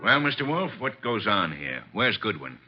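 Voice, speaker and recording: An actor playing a blunt, impatient police inspector, gruff and curt, in an old radio mystery. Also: male voice, lowish voice, sing-song, normal speed, loud music behind the voice. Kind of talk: 1950s radio mystery acting. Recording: dull vintage radio recording.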